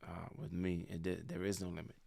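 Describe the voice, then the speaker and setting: A man of around thirty, a podcast guest speaking in a relaxed, reflective way on a stand mic in an office room. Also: deep voice, a little fast, creaky. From Bahamas. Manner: relaxed, reflective, repeats words as he thinks. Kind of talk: casual conversation. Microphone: studio mic on a stand.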